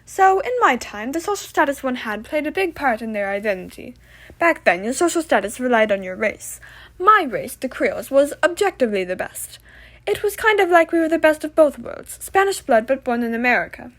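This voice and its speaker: A woman's voice: high-pitched, very animated, a little fast, a bit bright, exaggerated voice. A woman playing a proud, self-satisfied male revolutionary leader being interviewed in a comedic puppet show. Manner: boastful, matter-of-fact.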